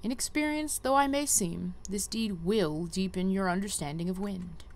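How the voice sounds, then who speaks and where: A woman's voice: mid-range voice, moderate pace, natural voice. Woman doing young conjurer girl teacher from a fantasy game, polite n hesitant, old-timey wording, bit of noise. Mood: earnest, polite. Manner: polite, hesitant, archaic phrasing. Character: earnest, inexperienced young female conjurer tutor in fantasy game. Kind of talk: reading game quest dialogue aloud.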